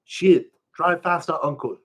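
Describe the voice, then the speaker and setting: A man voicing an eager, excitable skinny island kid who exclaims in pidgin. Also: a little high, unhurried, pitched above the performer's own voice. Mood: excited, impatient.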